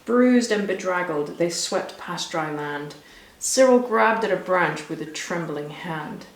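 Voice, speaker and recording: A woman reading a rhyming children's story aloud with expressive, rhythmic delivery. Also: on the low side, unhurried. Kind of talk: scripted storybook narration for children. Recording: echoey room.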